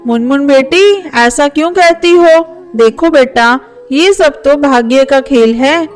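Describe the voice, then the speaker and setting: A woman playing a gentle mother bird in a children's cartoon. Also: high-pitched, takes it slowly. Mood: consoling. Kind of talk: Hindi children's cartoon dialogue. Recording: faint music under voice. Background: faint music.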